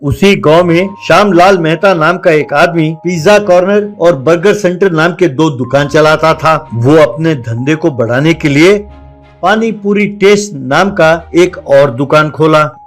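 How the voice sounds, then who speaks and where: A man's voice: a little high, unhurried, soft background music. A man telling a cartoon story as a scripted narration.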